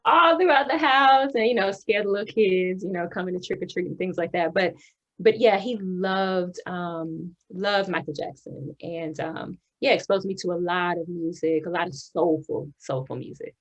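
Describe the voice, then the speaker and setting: A young woman from the Houston area of Texas talks about her upbringing as an interview guest. She is chatty and warm, laughs often and fills pauses with ums. Her voice sits a little low. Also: dull audio. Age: young adult. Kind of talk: casual interview chat.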